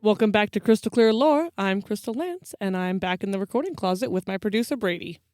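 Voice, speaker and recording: A woman's voice: mid-range voice, lively intonation, fairly quick, a little mellow, no room sound, soft background music. A woman hosting a folklore podcast in a casual, chatty style from a small recording closet. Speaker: podcast host. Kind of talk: casual chat about folklore.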